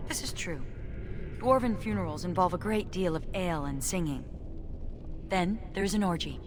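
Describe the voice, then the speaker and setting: A woman playing a stoic female dwarf warrior in a video game, sober, dry and deadpan. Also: lowish voice, normal speed, big-room sound, background noise, close to an everyday voice.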